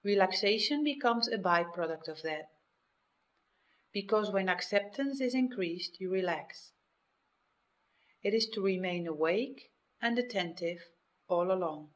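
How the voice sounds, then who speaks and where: Female meditation guide, medium pitch, scripted instruction delivered in measured phrases with pauses. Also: expressive.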